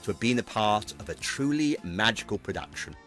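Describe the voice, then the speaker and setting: A man's voice: lowish voice, big pitch swings, brisk, fairly bright tone, faint music behind. A middle-aged British man giving a scripted, reflective narration as a veteran stage performer. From United Kingdom. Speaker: veteran pantomime performer and presenter.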